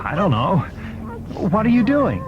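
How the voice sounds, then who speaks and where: A man playing a smooth-talking, sinister impostor in an audio drama, courteous with an undertone of menace. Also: high voice, huge pitch swings, a little slow, lo-fi sound, very noisy, exaggerated voice. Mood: angry.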